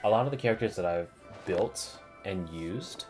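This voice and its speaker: Deep-voiced man rambling casually on stream. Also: animated, moderate pace, a little mellow. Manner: rambling, informal, frequent swearing. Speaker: game streamer. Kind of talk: casual game stream commentary. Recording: music playing behind the voice. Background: game music.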